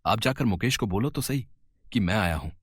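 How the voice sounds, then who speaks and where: An actor playing a poor, kind-hearted young family man in an animated story, talking earnestly and quickly when worried. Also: on the low side, no echo, studio quality. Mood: pleading. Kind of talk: storybook voice acting.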